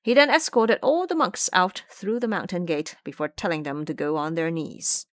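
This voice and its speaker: A woman narrating a scripted audio drama in an expressive, theatrical storytelling manner. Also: lowish voice, normal speed, no echo, clean studio sound.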